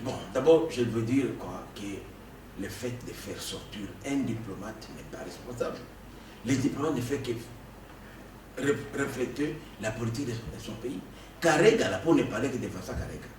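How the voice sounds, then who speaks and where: A man from the Congo giving an animated political interview, emphatic and prone to stammering restarts. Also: medium pitch, normal speed. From Democratic Republic of the Congo. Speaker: political guest. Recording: echoey room, distant mic, faint noise.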